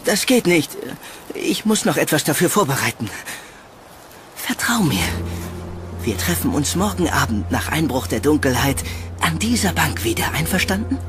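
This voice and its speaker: A man's voice: fairly high pitch, very animated, normal speed, over music. A man playing a haunted, shy yet charming young man in a horror audio drama, earnest and pleading. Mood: hopeful, reassuring.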